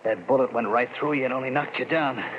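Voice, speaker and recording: An actor playing a greedy, callous small-time crook with brusque, slangy, threatening speech in 1940s radio drama style. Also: male voice, mid-range voice, quick pace, natural voice. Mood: menacing. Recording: muffled, hissy vintage radio recording.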